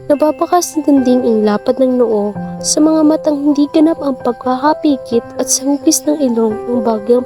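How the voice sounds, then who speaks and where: A woman narrating a literary radio drama in an expressive storytelling manner. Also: high-pitched. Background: background music.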